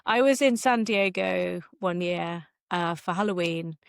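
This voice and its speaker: A woman's voice: on the low side, unhurried, vocal fry, clear, resonant tone, no room sound, clean studio sound. A woman speaking as a guest author in an interview, answering in a casual, chatty, conversational way.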